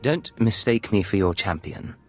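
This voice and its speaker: A confident imperial agent for a video game. His voice sits fairly low, and he speaks with blunt, cool defiance. Soft music runs behind him. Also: lively intonation, moderate pace. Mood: cool, defiant. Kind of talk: dramatic video game acting.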